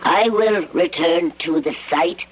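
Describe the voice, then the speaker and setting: A small, wary alien visitor for a radio drama. The speech is extremely slow, formal and halting, with a husky, breathy tone that carries menace. The recording is dull and lo-fi. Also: medium pitch. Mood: solemn warning. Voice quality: husky, breathy, often near a whisper. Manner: halting, stilted, formal phrasing without contractions.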